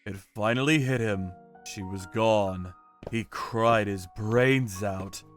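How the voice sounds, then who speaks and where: A man giving dramatic first-person narration as a calm, righteous, menacing vigilante in an animated manga story. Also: on the low side, slow pace, soft background music. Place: studio voice-over with music behind.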